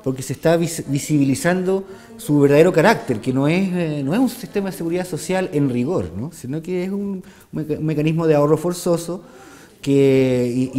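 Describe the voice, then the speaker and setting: A man's voice: a little high, warm tone. Man with hesitant, searching speech. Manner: hesitant starts, frequent tag questions. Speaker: guest, author and journalist. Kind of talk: interview conversation.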